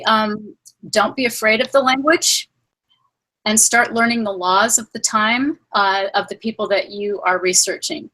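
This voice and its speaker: A woman speaking as a guest on a panel, giving measured advice. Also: medium pitch, sharp tone, poor audio. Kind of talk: casual panel discussion.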